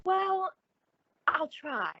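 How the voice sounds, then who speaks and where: An actress playing a gushing, solicitous, sociable woman with effusive, drawn-out emphasis in an old-time radio suspense drama. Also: extremely high pitch, very animated, no room sound, lo-fi sound, full character voice. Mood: puzzled, reluctant.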